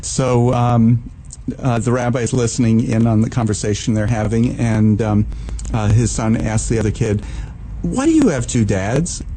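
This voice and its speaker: A man hosting a radio talk show, chatting in a relaxed way with many ums and uhs. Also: mid-range voice, moderate pace, a little hiss or hum, rough recording. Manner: relaxed, with filler "um" and "uh". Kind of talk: radio interview chat.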